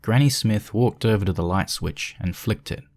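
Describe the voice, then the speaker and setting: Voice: sits low, normal speed, darkish tone, slight background noise. A narrator reading a scripted fan fiction story aloud.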